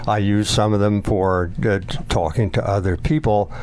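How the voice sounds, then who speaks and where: A man in his nineties, a war veteran, recalling memories in an interview in a halting, reflective way. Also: on the low side, fairly even intonation, darkish tone. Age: 90s.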